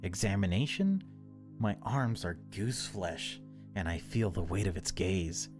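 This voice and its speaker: A man's voice: low voice, expressive, unhurried. A man voicing the solemn, brooding protagonist of a horror visual novel. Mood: grim revulsion. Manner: solemn, dramatic reading. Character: brooding horror-novel narrator facing a loathed creature. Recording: music behind the voice.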